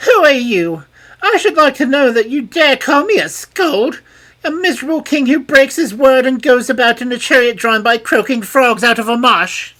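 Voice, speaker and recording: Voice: sits high, moderate pace, hardly any pauses, pitched above the performer's own voice. A storybook narrator voicing a spiteful young princess who shrieks her words in a piercing voice. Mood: raging. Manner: piercing, loud and indignant.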